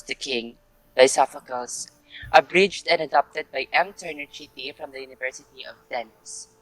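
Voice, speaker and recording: A man's voice: mid-range voice, normal speed, a bit bright, no room sound, rough recording. A man playing a grave, reverent townsman and storyteller in a formal, dramatic reading of a Greek tragedy. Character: grave Theban townsman and messenger, pleading and reverent. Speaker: narrator and chorus actor. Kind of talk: staged readers' theatre of Greek tragedy.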